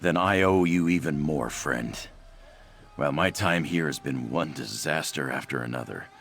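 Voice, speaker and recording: A man voicing an earnest, shy young half-orc, half-cat-folk in a video game, with a gravelly voice and polite manner. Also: very deep voice, moderate pace, fairly bright tone, exaggerated voice. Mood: grateful, worried. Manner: earnest, self-effacing, polite.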